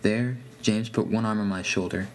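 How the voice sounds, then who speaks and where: A man's voice: lowish voice, steady tone, moderate pace, a little hiss or hum. A man reading a scripted first-person horror story as its narrator.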